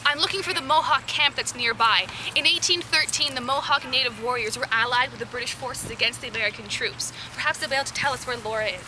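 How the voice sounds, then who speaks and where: A woman's voice: high voice, fast, hardly any pauses, close to an everyday voice. A woman playing an eager, polite, talkative guide in an outdoor historical dramatization, speaking in a theatrical, exclamatory way. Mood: explaining. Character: eager, nervous, talkative woman guide searching for Laura Secord. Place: outdoors in a park. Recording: clear outdoor park noise.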